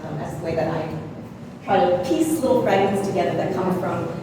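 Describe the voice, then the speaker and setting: A woman from Canada, speaking as an artist in a gallery conversation, reflective and unhurried with frequent pauses. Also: on the low side. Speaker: visiting artist. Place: gallery space, indoors. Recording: echoey hall from far off, noisy.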